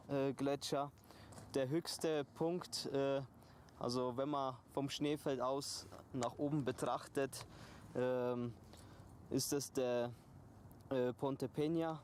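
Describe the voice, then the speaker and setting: A man in mountain guide training giving a halting summit briefing outdoors, with many hesitations. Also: mid-range voice, darkish tone, slight background noise.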